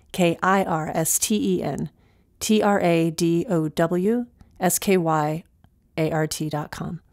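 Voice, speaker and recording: A woman's voice: on the low side, darkish tone, no room sound, clean studio sound. A woman hosting a podcast, reading scripted thanks and announcements. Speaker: podcast producer and host.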